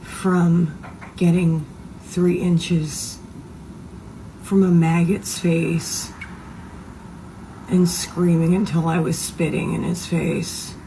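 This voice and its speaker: A woman with a hoarse voice telling a story in a flat, unhurried manner for a phone video. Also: deep voice, a little hiss or hum, low-quality recording. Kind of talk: social media monologue.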